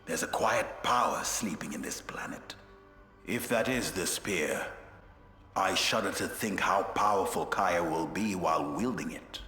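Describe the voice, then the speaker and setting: A man playing a grave, brooding space marine commander in a video game, speaking solemnly and deliberately. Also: sits low, pushed and bright. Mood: brooding, wary. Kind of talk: dramatic video game acting. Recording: echoey hall, faint music behind.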